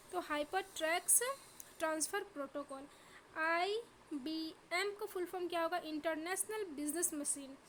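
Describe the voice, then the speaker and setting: A woman's voice: very high-pitched, fairly bright tone, a little hiss or hum. Woman teacher with a sore throat. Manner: drills terms by asking then answering. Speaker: exam-prep teacher. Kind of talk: repetitive question-and-answer revision lesson.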